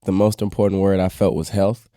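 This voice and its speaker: Deep, mellow man talking sports, close and dry. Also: little pitch movement, fairly quick, no echo. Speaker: sports podcast panelist. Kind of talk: casual sports commentary.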